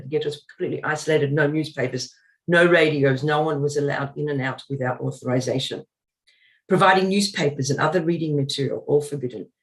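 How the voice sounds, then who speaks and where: A man giving an earnest community lecture, partly read from notes, with frequent ums and uhs as he explains. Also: on the high side. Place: synagogue talk.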